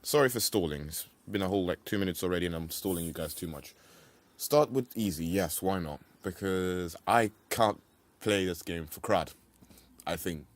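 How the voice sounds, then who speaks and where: A man's voice: sits low, normal speed, no room sound. A young man hosting a video game playthrough with casual, rambling commentary, playful slang and mild swearing. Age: young adult. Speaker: let's play host.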